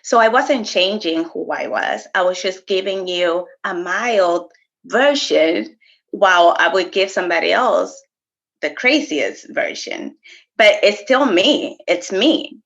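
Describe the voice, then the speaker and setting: Animated woman chatting, slightly muffled. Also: lowish voice, slow, gravelly. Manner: animated, laughs often, code-switches briefly. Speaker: podcast co-host. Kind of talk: casual conversation.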